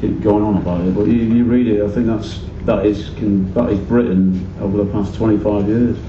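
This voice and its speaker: A man's voice: on the low side, steady tone, a little fast. A man answering interview questions as a book's co-author, rambling in loose fragments. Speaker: guest, co-author of a book. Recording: distant, echoey, muffled, loud traffic noise.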